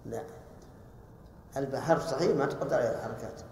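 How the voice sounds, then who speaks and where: Guy teaching grammar, quizzes students, quick to correct, big echoey hall. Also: mid-range voice, background noise. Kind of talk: grammar lesson with questions to students.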